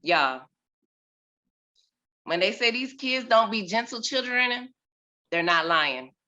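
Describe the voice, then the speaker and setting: A woman in her thirties, a mother, telling a personal story on a video call with expressive, dramatic delivery and big swings in loudness. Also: a little high, takes it slowly, highs cut off.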